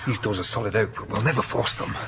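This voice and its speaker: A man's voice: fairly low pitch, fast, gravelly. An actor playing an earnest, troubled English clergyman in a radio drama, with an urgent, conscientious manner. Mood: cautious.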